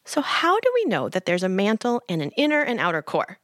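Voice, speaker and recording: A woman's voice: mid-range voice, huge pitch swings, brisk, no echo, studio quality. A woman host giving scripted science narration for kids, explaining with playful comparisons. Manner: bright explainer, playful analogies. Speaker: podcast host.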